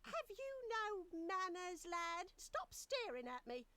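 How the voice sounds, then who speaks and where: Old female dragon, high-pitched, animated, crotchety, coquettish, theatrical, fantasy game drama. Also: slow pace, no echo, exaggerated voice. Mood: haughty, crotchety. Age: older. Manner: theatrical, teasing, fussy, grandmotherly chatter. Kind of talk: audio drama adventure game acting.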